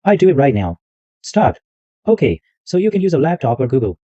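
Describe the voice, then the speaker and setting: A man hosting a screen-recorded software tutorial, narrating every click step by step in loose, repetitive wording. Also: mid-range voice, big pitch swings, fairly quick, no room sound. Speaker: tutorial host. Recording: dull lo-fi dry mic sound.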